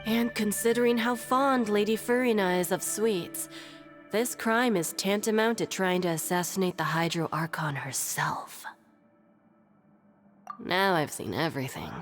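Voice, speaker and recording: A woman's voice: mid-range voice, unhurried, soft background music, natural voice. A woman playing a jaded, world-weary prison clerk with a dry, sardonic manner in a video game. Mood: dry, official. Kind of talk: English video game voice acting.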